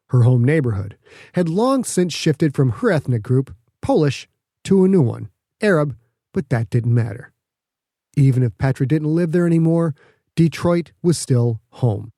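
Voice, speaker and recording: A man narrates scripted fiction for an audiobook. His voice is a little higher than average, with a soft, dark-edged tone. The studio recording is very dry and close. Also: expressive, measured pace, no room sound.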